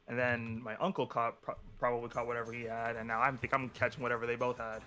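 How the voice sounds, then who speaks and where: A man's voice: medium pitch, level tone, fast talker, pushed and bright, slight background noise. A man with a slight sore throat chatting casually on a stream in short, easygoing replies. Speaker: stream co-host. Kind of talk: casual chat.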